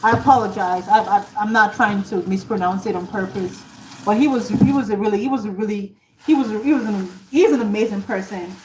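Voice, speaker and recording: A woman's voice: medium pitch, fairly quick, noisy background. A woman from Nigeria, an author hosting a casual livestream, chatting and rambling to viewers while she cooks at home. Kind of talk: casual live-stream chat with viewers. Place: home kitchen. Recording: roomy, distant, running tap water.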